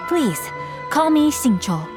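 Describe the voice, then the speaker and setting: A woman voicing a polite, bookish young gentleman in a video game, speaking in a formal, courteous and refined manner. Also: sits high, very animated, takes it slowly, music in the background, full character voice. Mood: courteous, awkward.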